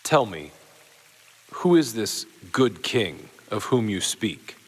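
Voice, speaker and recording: Slow, stately Roman officer. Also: male voice, fairly low pitch, big pitch swings, exaggerated voice. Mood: curious, measured. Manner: formal, stately, measured. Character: commanding Roman officer, proud, thoughtful, humbled by faith. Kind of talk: dramatized family Bible audio drama.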